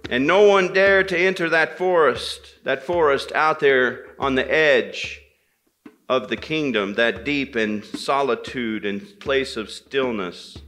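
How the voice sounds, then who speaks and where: A man telling a folktale aloud to listeners, deliberate and repeating phrases for dramatic effect. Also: medium pitch, sharp tone. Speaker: storyteller at a men's gathering. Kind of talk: live oral storytelling of a folktale, dramatic pauses and repetition.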